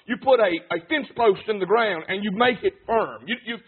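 A man's voice: high-pitched, moderate pace, breathy edge. A man preaching an emphatic revival sermon, punctuating lines with "hey" and "amen". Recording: tinny phone-like sound.

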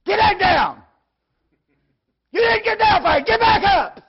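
A man voicing a harsh male army drill sergeant who barks orders at full shout. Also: very high-pitched, expressive, takes it slowly, a faint crowd, pitched above the performer's own voice, full character voice. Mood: barking. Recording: dull lo-fi recording.

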